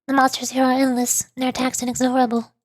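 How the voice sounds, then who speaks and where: A playful, expressive woman, commentating on a video game livestream as a vtuber. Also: sits high, fast talker, dry recording. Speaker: vtuber streamer. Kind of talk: game livestream commentary, reading game text aloud. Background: game music.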